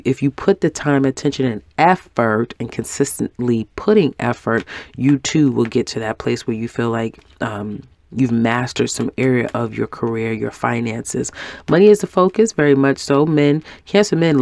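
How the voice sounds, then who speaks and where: A man with a head cold giving a weekly tarot reading, unhurried and reassuring, with many pauses and ums. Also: mid-range voice, gravelly, no room sound. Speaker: tarot reader.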